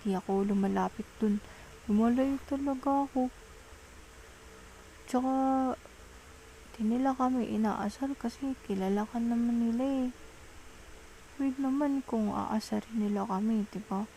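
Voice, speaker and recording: A woman with a Filipino accent playing an apologetic, tender young girlfriend in an intimate ASMR roleplay, speaking softly and hesitantly in a coaxing way. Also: on the high side, measured pace, long pauses, a little hiss or hum, poor audio. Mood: pleading, reassuring. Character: apologetic young girlfriend, tender, pleading, soothing her jealous partner.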